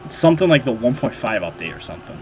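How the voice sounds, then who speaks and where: A man's voice: mid-range voice, big pitch swings, fast, faint music behind. A man with a nasal voice hosting a livestream, chatting casually and rambling with lots of fillers and restarts. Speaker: livestream host. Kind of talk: casual livestream chat while modding.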